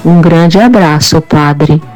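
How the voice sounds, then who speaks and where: A woman's voice: deep voice, a little mellow, soft background music, low-quality recording. A woman from Cubatão giving a grateful faith testimony to a radio priest. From Cubatão, São Paulo, Brazil. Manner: grateful, reading slowly.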